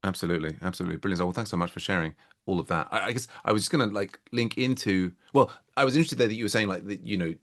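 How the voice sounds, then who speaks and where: A man hosting an interview, talking casually and chiming in with quick, eager affirmations and restarts. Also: low-pitched, fairly bright tone. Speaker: podcast host and interviewer.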